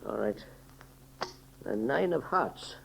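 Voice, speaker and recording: Grave male doctor of science, gravelly voice, formal deliberate delivery, 1930s radio drama, faint hum and noise. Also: mid-range voice, lively intonation, a bit bright, heavily acted. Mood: grave, composed. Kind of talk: 1930s radio serial acting. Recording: noisy, humming 1930s radio serial recording.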